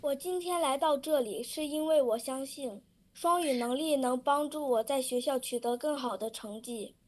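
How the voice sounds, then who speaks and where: A woman reading a prepared public statement in steady recited sentences. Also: high-pitched, normal speed. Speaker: student public speaker.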